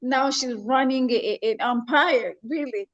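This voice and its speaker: A woman hosting a show, her voice quite high and enthusiastic as she switches between English and French. The recording sounds dull and lo-fi. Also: takes it slowly. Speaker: show host.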